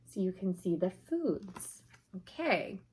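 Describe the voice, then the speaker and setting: Slow, animated woman reading to children. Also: lowish voice, slightly rough. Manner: explanatory, expressive, addressing young children. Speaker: children's story reader. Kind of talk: picture book read aloud with simple asides to children.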